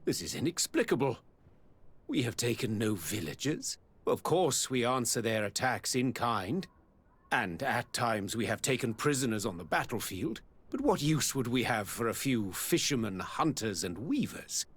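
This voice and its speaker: A self-assured colonial governor from a video game. The voice sits at a medium male pitch, and he speaks formally and eloquently, with stately, genteel authority. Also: big pitch swings, normal speed, fairly bright tone. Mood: dismissive, assured. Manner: formal, eloquent, smooth-mannered. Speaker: governor character. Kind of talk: video game cutscene acting.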